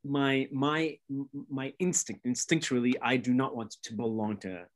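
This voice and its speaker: A man's voice: mid-range voice, steady tone, a little slow. A man, talking thoughtfully on a video call from a home studio and searching for words as he goes. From Lebanon. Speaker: musician in conversation. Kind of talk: casual reflective conversation. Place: home studio room indoors.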